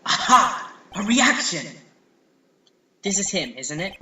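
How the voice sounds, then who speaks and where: A man's voice: sits high, big pitch swings, takes it slowly, pushed and bright, full character voice. A man voicing an exasperated, determined young defense lawyer in a video game fan dub, with wry asides and emphatic outbursts. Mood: determined, forceful.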